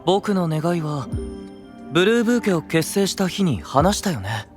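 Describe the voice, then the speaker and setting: A man playing a gentle-spoken, idealistic, enigmatic young knight leader in an anime-style voice drama, soft and composed. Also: on the high side, big pitch swings, moderate pace, faint music behind. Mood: quietly troubled.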